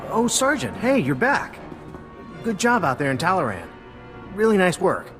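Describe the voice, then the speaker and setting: An eager male lieutenant gives an informal game briefing with lively intonation. He is chatty and earnest, with music playing behind him. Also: sits high, normal speed, heavily acted. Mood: friendly. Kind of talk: video game cutscene acting.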